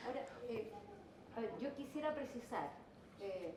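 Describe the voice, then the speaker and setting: Higher-pitched Chilean woman on a panel. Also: expressive, background noise. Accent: Chilean Spanish. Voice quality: strong voice. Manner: measured, qualifying her points. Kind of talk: panel discussion. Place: event hall.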